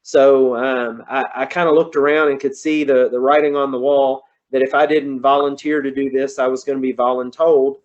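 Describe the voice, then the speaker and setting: Kentucky-accented presenter, 40s, male, easygoing, mid-pitched, slightly muffled call audio. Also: flat delivery, moderate pace, few pauses, darkish tone. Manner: folksy, conversational, says y'all. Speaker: guest presenter, engineer. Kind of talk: online presentation. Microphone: computer on a video call.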